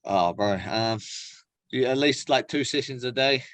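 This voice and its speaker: A man's voice: medium pitch, a little slow, a bit bright, no echo. Male athlete interviewee, New Zealand accent. Mid-range voice, informal and slangy, dry sound with little room. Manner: laid-back slangy phrasing, frequent "like" and "bro". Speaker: professional MMA fighter being interviewed. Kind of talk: casual interview chat.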